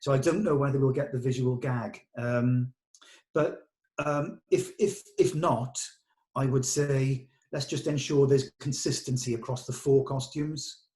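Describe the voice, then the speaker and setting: Mellow-voiced man, explaining things casually. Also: medium pitch, steady tone, a little slow. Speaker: film director leading a table read. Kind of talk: casual production meeting talk.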